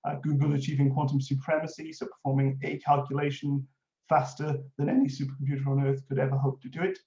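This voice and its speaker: A man's voice: mid-range voice, steady tone, fast talker, mellow. A man with a Welsh accent giving a conference talk, explaining a technical subject with frequent filler sounds. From Wales. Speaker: conference speaker, innovation lead. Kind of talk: presentation talk with slides. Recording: roomy, dull lo-fi audio.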